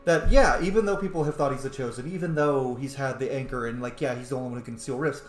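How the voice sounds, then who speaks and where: A man's voice: medium pitch, fast talker, faint music behind. A man giving casual gameplay commentary in an effusive, rambling, informal way. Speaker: gamer doing a playthrough. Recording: roomy, echoey voice pickup.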